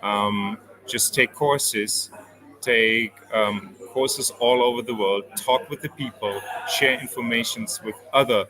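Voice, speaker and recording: A man's voice: medium pitch, steady tone, slow pace, poor audio. Swiss man, crowd noise behind. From Switzerland. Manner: explains step by step with many fillers. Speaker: performance coach. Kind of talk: interview answers.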